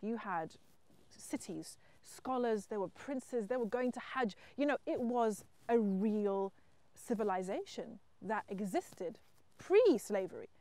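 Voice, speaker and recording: Casting: middle-aged woman from Zimbabwe, mid-pitched, earnest and reflective, interview monologue. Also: animated, takes it slowly, warm tone, no room sound. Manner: earnest, reflective, emphatic at times. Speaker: writer and entrepreneur. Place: wheat field outdoors.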